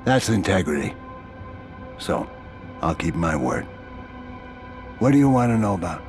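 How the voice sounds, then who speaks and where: An actor playing a matter-of-fact, streetwise prison fixer in a video game, casual and laconic. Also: male voice, sits low, very animated, measured pace, music in the background, exaggerated voice. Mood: businesslike.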